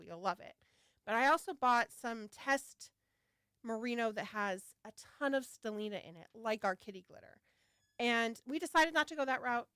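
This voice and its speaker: A woman's voice: on the high side, fairly bright tone, clean tone, dry recording, clean studio sound. A woman hosting a casual livestream for her shop, chatty and a little scattered, with a slightly nasal voice from a head cold. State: getting over a head cold. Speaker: yarn shop owner hosting a launch livestream.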